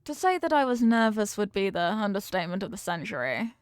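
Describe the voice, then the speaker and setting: A woman's voice: mid-range voice, big pitch swings, brisk, clean tone, no room sound, close to an everyday voice. A woman playing a confident mafia boss girlfriend in an intimate romantic audio roleplay, talking tough but tenderly with affectionate slang. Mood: fond, reflective. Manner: affectionate tough talk with slangy pet names.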